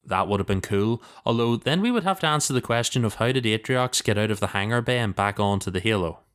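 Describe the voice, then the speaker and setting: A man with a deep, smooth and resonant voice chats on a podcast panel. He speaks informally, in a conversational way, as he thinks through his points. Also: lively intonation, quick pace, a bit bright. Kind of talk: casual podcast discussion.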